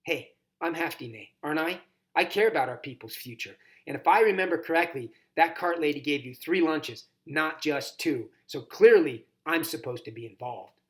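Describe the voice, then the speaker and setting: A man's voice: fairly high pitch, lively intonation, normal speed, voice pushed up, close to an everyday voice. Man playing loyal schoolboy friend, earnest, sensible, slightly prim, storybook character. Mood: insistent. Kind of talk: audiobook character reading.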